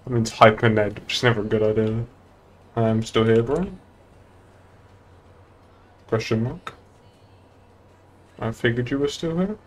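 A man's voice: medium pitch, unhurried, pauses often. A young British man chatting casually and laddishly on a gaming livestream. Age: young adult. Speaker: game streamer. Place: indoor room at a streaming desk.

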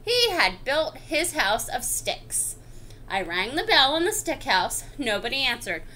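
A woman's voice: high-pitched, sing-song, unhurried, sharp tone, a little hiss or hum, heavily acted. A woman voicing an indignant, self-justifying wolf telling his side of a picture-book story in a chatty, confiding, theatrical way. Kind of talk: expressive picture-book read-aloud.

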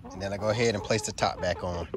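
A man's voice: deep voice, fairly even intonation, quick pace, heavy background noise. Man explaining his backyard chicken setup. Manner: plain, friendly, step-by-step explaining to viewers. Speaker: homesteading vlogger. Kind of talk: casual walkthrough demonstration. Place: outdoors in a backyard garden. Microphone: handheld phone camera.

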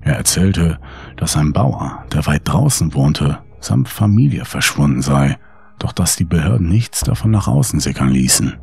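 A man's voice: a bass voice, big pitch swings, normal speed, creaky, darkish tone, husky. A man reading a scripted first-person horror story in an audiobook style.

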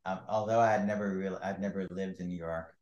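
An earnest man from Virginia speaking openly as a musician guest. His voice sits low and steady. A roomy echo surrounds every word. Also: a little fast, dull audio. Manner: earnest and open, building on his partner's points. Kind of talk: casual interview. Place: indoor room with patterned wall.

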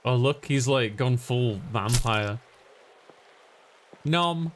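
A man's voice: mid-range voice, drawn-out words, a little hiss or hum. A man streaming a game, giving offhand let's play commentary and brief reactions. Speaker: gaming streamer.

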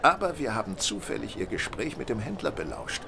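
An actor playing a shrewd, self-assured gentleman detective in an audio drama, polite and measured in manner. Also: mid-range voice, big pitch swings, gravelly, noisy background. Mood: courteous, confident. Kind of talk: German audio drama acting.

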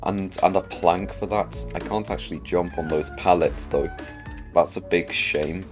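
A man's voice: low-pitched, a little slow, over music. A man giving casual gameplay commentary, chatting and thinking aloud as he plays. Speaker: gamer narrating own play.

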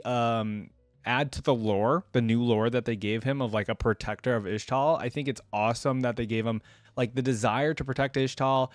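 A man's voice: medium pitch, no room sound. Man, twenties, casual reaction host, mid-range voice, dry close desk mic. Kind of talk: casual commentary to viewers. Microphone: close desk microphone.

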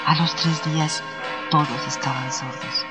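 A high-voiced village storyteller played by a man recounts a tragic past in a radio drama. The narration is dramatic and somber, with music playing clearly underneath. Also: fairly even intonation, a little slow, lightly acted. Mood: somber storytelling.

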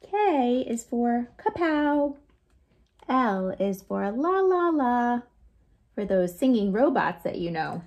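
An American woman in her forties hosting a read-aloud storytime for young children, in an animated, sing-song way with playful sound effects. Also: a little high, slow. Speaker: children's librarian, storytime host. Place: small lamp-lit room at home.

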